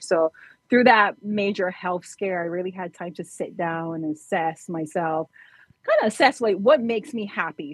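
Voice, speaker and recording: A woman's voice: fairly low pitch, slightly rough, no room sound, low-quality recording. A woman from Barbados, a fitness trainer, telling her personal story casually as a podcast guest.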